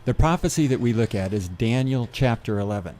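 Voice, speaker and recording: A man who gives seminars, guesting on a radio interview, explaining things in a steady, methodical way. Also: medium pitch, fairly quick, a little hiss or hum. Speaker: seminar speaker.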